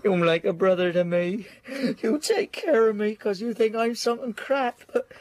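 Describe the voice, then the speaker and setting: An actor playing a tormented, raving deserter soldier in a radio drama, with a manner that veers between whispers and cries. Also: male voice, sits high, normal speed, dry recording, close to an everyday voice. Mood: bitter, wandering. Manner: feverish, swinging from whispers to cries.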